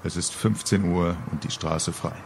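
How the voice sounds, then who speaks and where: A man's voice: sits low, steady tone, normal speed, a little hiss or hum. A middle-aged man reading a scripted humorous story in a wry, colourful storytelling manner. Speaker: author reading own story. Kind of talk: scripted humorous narration, audio-book reading.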